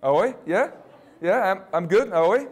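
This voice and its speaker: A man's voice: high-pitched, lively intonation, slow, breathy edge. Chatty Russian-accented university recruiter, man. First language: not English. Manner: jokey, chatty, quizzes the audience. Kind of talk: presentation to students.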